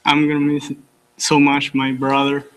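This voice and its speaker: A teenage Italian exchange student with an Italian accent, giving a halting thank-you speech in simple English at a public meeting. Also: male voice, a little high, completely flat delivery, poor audio. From Italy. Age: teens. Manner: halting, simple non-native phrasing.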